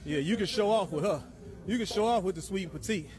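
A man's voice: sits high, a little fast. A man in his forties filming in a large indoor gym on a handheld phone, hyping lifters with chanted catchphrases and counting reps. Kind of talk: hype commentary on a workout. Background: loud music.

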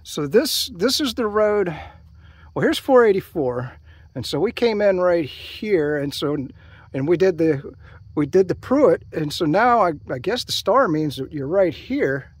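An older American man chatting casually outdoors as a hiking vlogger, in a rambling, halting way. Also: high-pitched, animated, breathy edge, dry recording. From United States. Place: outdoors at a trailhead by open fields. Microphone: handheld camera held by speaker.

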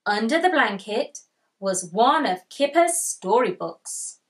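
A woman in her thirties reading aloud to young children as a storytime host, with deliberate emphasis and pauses to ask listeners questions. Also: on the high side, very animated. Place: small indoor room with bookshelf.

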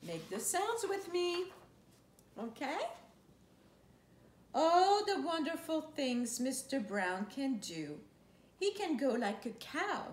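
A woman's voice: high-pitched, sing-song, slow pace, pauses often. A woman in her thirties reading a children's picture book aloud expressively, drawing out words and playfully imitating noises. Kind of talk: animated picture-book read-aloud with playful sound effects. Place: living room couch, indoors. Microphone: camera a few feet away. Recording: roomy, echoey sound with faint noise.

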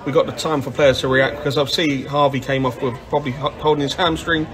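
A man's voice: medium pitch, fairly even intonation, fast talker. A man asking questions as interviewer in a post-match interview.

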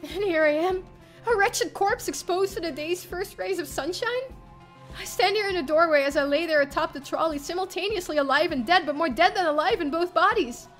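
A woman acting a distraught young horror heroine, high-pitched. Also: brisk, fairly bright tone, faint music behind. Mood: anguished. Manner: dramatic, anguished.

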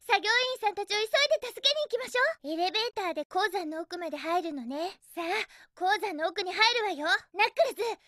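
A feisty young hedgehog girl from a video game. The voice is extremely high, bright and girlish, recorded close and dry. The delivery is perky, emphatic and sassy, full of cheerful cartoon spirit. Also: animated, a little fast, hardly any pauses, dry recording, full character voice. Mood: urgent. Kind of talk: Japanese video game voice acting.

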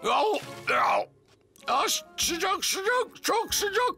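An actor voicing a grumpy, self-pitying old man in a Turkish cartoon dub, with creaky, dramatic complaining. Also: very high voice, sing-song, extremely slow, bright, forceful tone, faint music behind, exaggerated voice. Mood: whining. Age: older.

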